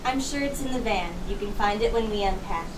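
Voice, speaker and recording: A woman playing a brisk, worrying, practical mother in an amateur radio play. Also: mid-range voice, lightly acted. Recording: distant mic, noisy room.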